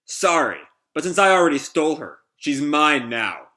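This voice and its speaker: A man's voice: fairly high pitch, sing-song, moderate pace, bright, forceful tone. A man voicing a cocky young thief from a comic, flippant and taunting. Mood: defiant.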